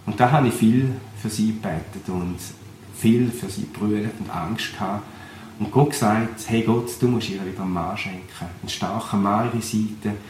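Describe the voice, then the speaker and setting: A young Swiss pastor with a Thurgau accent speaks in an earnest, reflective way, his voice sitting somewhat low. The recording is roomy, with a faint hum of background noise behind him. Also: normal speed, darkish tone. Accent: Swiss German, Thurgau. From Switzerland. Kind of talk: personal testimony.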